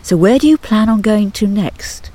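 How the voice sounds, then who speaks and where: A man's voice: high-pitched, lively intonation, normal speed, warm tone. A man interviewing guests in a chatty, encouraging way. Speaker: radio interviewer. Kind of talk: casual interview.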